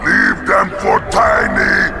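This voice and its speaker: A man's voice: slow pace, lightly acted. An actor voicing a huge, dim, aggressive beast henchman in a video game, bellowing in broken grammar. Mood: threatening. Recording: echoey, music behind.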